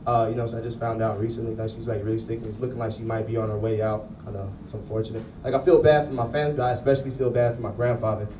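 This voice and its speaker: A man's voice: fairly low pitch, fairly even intonation, very fast, few pauses. An American man doing stand-up comedy in a casual, slangy, punchy storytelling way on a handheld microphone. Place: small comedy club stage. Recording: roomy distant club sound, loud crowd noise. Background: loud audience laughter and noise.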